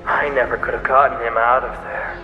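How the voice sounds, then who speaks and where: An actor playing an unhinged, bitter, obsessive serial killer in an audio drama, halting and menacing, moving between breathy hush and outbursts. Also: male voice, medium pitch, level tone, highs cut off, natural voice. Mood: eager, obsessive. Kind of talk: theatrical audio drama monologue. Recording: echoey reverberant hall, faint music behind.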